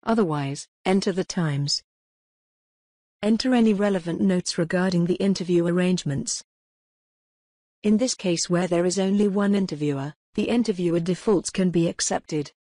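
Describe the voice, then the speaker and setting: A woman reading scripted tutorial narration in a measured, impersonal, step-by-step way. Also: lowish voice, dark tone, no room sound, clean studio sound.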